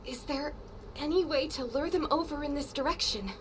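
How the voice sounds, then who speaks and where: A woman voicing a gentle, devout young warrior in a video game, soft and earnest, with measured solemn delivery. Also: high-pitched, a little hiss or hum, lightly acted. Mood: cautious, concerned.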